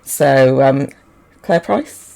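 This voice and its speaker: A British woman with a soft voice co-hosting a casual podcast, hesitant and full of ums. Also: rumbling low, drawn-out words, vocal fry. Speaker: podcast co-host. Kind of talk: casual chat.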